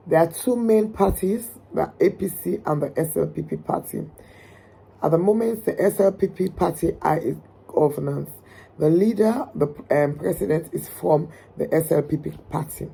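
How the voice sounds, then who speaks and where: A woman from Sierra Leone with a hoarse voice, speaking earnestly and emphatically about politics, with frequent ums. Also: fairly low pitch, dark tone, rough recording. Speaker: political commentator. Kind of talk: direct-to-camera opinion talk.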